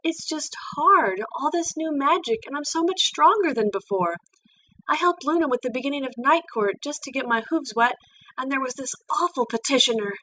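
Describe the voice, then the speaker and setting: A woman's voice: high-pitched, animated, normal speed, bright, forceful tone, pitched above the performer's own voice. A woman voicing an earnest, eager young female pony princess who stammers and flusters easily, in a storybook reading. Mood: upset. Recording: distant mic with background noise.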